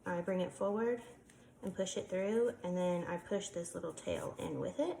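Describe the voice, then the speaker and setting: Woman, congested, lowish voice, casual craft tutorial, echoey room with faint hiss. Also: moderate pace, gravelly, mellow. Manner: chatty, explains while working with hands, frequent ums. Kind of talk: casual step-by-step demonstration.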